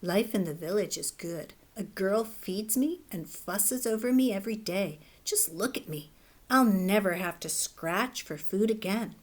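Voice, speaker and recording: A woman's voice: medium pitch, animated, a little slow. A woman voicing a greedy, selfish hen in a storybook, speaking in a self-satisfied, boastful way. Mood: smug.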